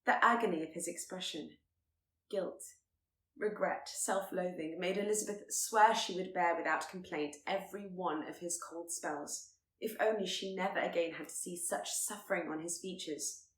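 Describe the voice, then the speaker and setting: A woman's voice: on the low side, normal speed, a bit bright, echoey room. A woman reading a period romance story aloud as scripted narration. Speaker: audiobook narrator.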